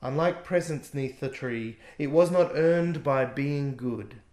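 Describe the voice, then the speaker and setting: Guy reading a rhyming kids book out loud, slow and lively, kinda echoey room. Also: mid-range voice. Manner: gentle, expressive read-aloud. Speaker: author reading his book. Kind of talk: rhyming storybook narration.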